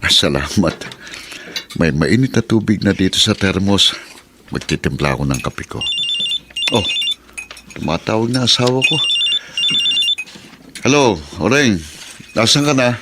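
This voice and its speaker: A hardworking Filipino family man in a radio drama. His voice is fairly low and his manner earnest and plain-spoken, over clearly noisy sound. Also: animated, unhurried, long pauses. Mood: easygoing.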